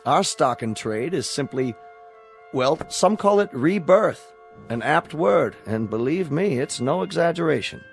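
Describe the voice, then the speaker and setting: Smooth, lively-pitched corporate salesman. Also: a little slow, soft background music. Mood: persuasive. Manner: courteous, polished, persuasive. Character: smooth-talking corporate salesman selling rebirth. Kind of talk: radio drama acting.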